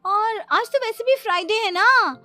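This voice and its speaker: A woman's voice: very high voice, a little slow, sharp tone, soft background music, pitched above the performer's own voice, heavily acted. A woman voicing a boastful young daughter-in-law in a cartoon, eager, insistent and self-assured. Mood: eager, confident. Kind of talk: exaggerated cartoon family-drama acting.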